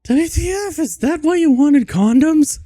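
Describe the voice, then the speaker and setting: A man voicing an angry, sarcastic teenage goth girl in a strained, high voice for a comic story reading. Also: lively intonation, a little slow, dry recording, studio quality. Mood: indignant, sneering. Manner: shouty, sneering, melodramatic. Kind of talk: comic dramatic reading of fan fiction.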